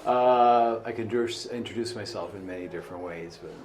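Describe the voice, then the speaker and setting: A middle-aged man born in Portugal and raised in Canada, a bar owner chatting in an interview, rambling and halting, often trailing off quietly. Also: on the low side. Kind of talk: casual interview chat. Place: small bar. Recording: noisy bar ambience behind.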